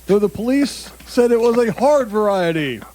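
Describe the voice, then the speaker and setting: A somewhat high-voiced man reads bizarre headlines to his co-host in loose, joking banter. He stumbles over foreign words and breaks into laughter mid-sentence. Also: lively intonation, normal speed, a little mellow, slight background noise. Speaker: comedy podcast co-host. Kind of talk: casual comedic news banter.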